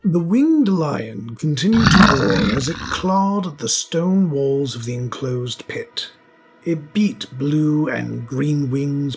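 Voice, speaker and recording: A man narrates a scripted story. His voice sits at a middle pitch, and music runs clearly behind the storytelling. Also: rough recording.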